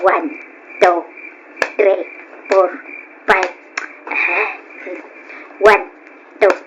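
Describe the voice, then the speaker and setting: A woman's voice: mid-range voice, level tone, extremely slow, background noise. An actress playing a stubborn, demanding older mother-in-law in a radio drama, brash and insistent, with a Filipino accent. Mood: demanding, brazen. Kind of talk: Ilocano radio drama acting.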